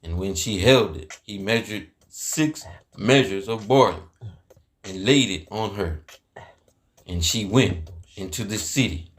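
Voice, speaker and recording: A man giving a slow, measured, solemn dramatized reading of archaic scripture as narrator. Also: lowish voice.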